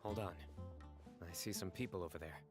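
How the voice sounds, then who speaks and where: An actor playing a stern, reserved official in a video game, speaking in a serious, measured and understated way. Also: male voice, low voice, over music, close to an everyday voice. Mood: cautious.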